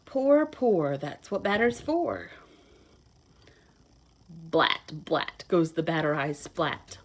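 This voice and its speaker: Slow-paced woman reading a picture book aloud. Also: low-pitched. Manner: playful, expressive, sing-song rhyme reading; simple child-directed explaining. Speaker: children's read-aloud host, teacher. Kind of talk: picture book read-aloud with asides to children.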